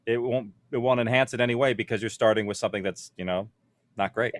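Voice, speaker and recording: A man's voice: medium pitch, fairly even intonation, quick pace, no echo. A man speaking conversationally as a panelist, explaining production matters to others. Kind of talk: conference panel discussion.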